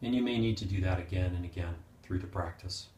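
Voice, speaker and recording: A man with a fairly low voice leads a guided meditation in a clearly echoing room. He gives gentle, unhurried instructions and leaves pauses between short phrases. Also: a little hiss or hum. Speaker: meditation teacher. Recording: roomy, echoing sound.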